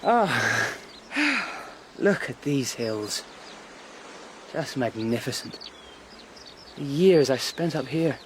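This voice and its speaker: A man's voice: medium pitch, sing-song, drawn-out words, long pauses, fairly bright tone, heavily acted. An actor playing young King Arthur, an earnest, brooding, guilt-ridden ruler in a radio drama. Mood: wistful. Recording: noisy outdoor ambience behind voice.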